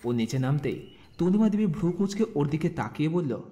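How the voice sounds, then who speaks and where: A man narrating a scripted romantic story with expressive storytelling delivery. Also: fairly high pitch, fairly quick. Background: background music.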